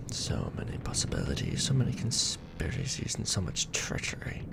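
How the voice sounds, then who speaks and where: A man voicing a weary, tender aristocratic vampire lord in an ASMR romance roleplay, murmuring softly and formally, close to the microphone. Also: sits low, huge pitch swings, moderate pace, heavy background noise, exaggerated voice. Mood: brooding, bitter. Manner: soft, breathy murmuring, formal archaic phrasing. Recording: background music bed.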